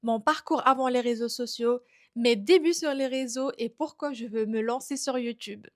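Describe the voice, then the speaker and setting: A woman's voice: on the high side, moderate pace. A woman in her thirties from Algeria, a fashion creator chatting about her life in a vlog. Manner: chatty, personal storytelling. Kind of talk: casual vlog introduction.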